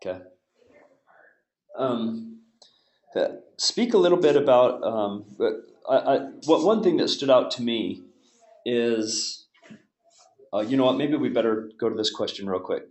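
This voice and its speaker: A man hosting a webinar, asking questions in a hesitant way with frequent pauses and restarts. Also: mid-range voice, animated, darkish tone, roomy sound. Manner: hesitant, many fillers and restarts. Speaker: webinar host. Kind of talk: webinar moderation.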